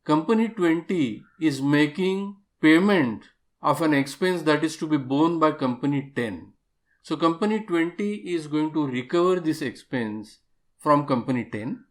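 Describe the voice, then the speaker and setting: Guy with a slightly high voice explaining stuff step by step like an instructor, stresses the key terms. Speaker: accounting software instructor. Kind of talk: explanatory tutorial narration.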